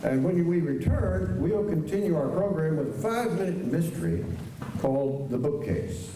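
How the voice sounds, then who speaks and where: A man's voice: fairly high pitch, animated, normal speed, dark tone. A man announcing a live stage show in a scripted style. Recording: echoey auditorium, distant mic, faint noise.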